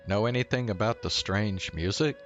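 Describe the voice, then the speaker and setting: A man's voice: on the low side, normal speed, highs cut off. A man streaming a video game, giving casual live commentary and reading dialogue choices aloud. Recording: game music behind.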